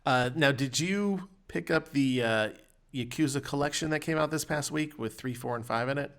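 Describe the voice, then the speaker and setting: A man's voice: mid-range voice, moderate pace. A man hosting a podcast, chatting casually with the others on the show. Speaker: podcast host.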